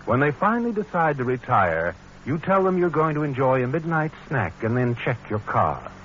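Ominous vintage radio suspense narrator, knowing and dramatic, very animated delivery, dull old broadcast sound. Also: male voice, medium pitch, normal speed, slight background noise. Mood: knowing, suspenseful. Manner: knowing, dramatic, addresses the character directly. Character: ominous male narrator needling the guilty protagonist. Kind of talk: 1940s radio thriller narration in second person. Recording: muffled old radio recording with music.

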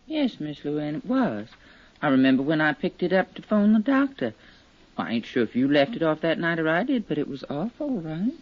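A lilting, deferential household maid. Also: female voice, low voice, big pitch swings, moderate pace. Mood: meek, helpful. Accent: American. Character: deferential, plain-spoken female household servant. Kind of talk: 1950s radio drama acting. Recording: muffled vintage 1950s radio recording.

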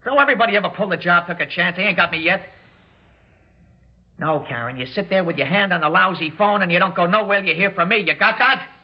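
A jittery young gunman from an old radio drama, pitched on the high side. He threatens in rough, slangy street talk. The recording is dull and muffled, like a vintage broadcast. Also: fast. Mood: agitated, curt. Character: jittery young male armed robber holding hostages, threatening. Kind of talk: classic radio drama acting.

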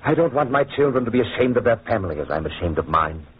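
An honourable, courteous nobleman prisoner in a vintage radio drama. His voice is low and very husky, and the recording is narrow and muffled. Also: very animated, fast talker. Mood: solemn confession. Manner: courteous, conscientious. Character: earnest, honourable French nobleman, wronged prisoner. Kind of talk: old-time radio drama acting.

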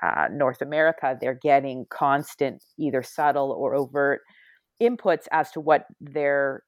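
Middle-aged woman author, reflective, halting interview answers, dry close mic. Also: very deep voice, no echo. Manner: reflective, many restarts and hedged pauses.

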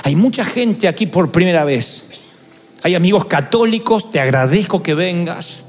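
A man in his fifties preaching a fervent sermon as pastor, building up to shouts. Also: high voice, expressive, normal speed, faint music behind. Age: 50s. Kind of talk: impassioned sermon.

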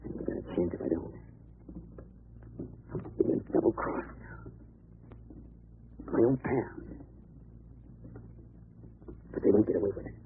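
Man playing a low-voiced hard-boiled tough convict. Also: lively intonation, moderate pace, pauses often, close to an everyday voice. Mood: bitter, plotting. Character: tough, cocky young male convict. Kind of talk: 1940s radio acting. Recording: noisy, phone-like old radio recording.

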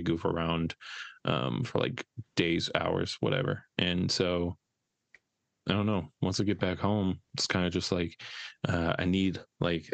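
Deep, gravelly-voiced man. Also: dry recording, dull audio. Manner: hesitant, rambling, many fillers. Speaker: podcast co-host. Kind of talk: casual podcast chat.

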